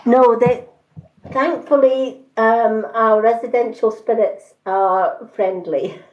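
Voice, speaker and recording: Slow, calm woman in a roomy space. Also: a little high. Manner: calm, soothing delivery. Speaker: live-stream host and psychic card reader. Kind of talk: casual live-stream chat with viewers. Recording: roomy, slightly dull livestream audio.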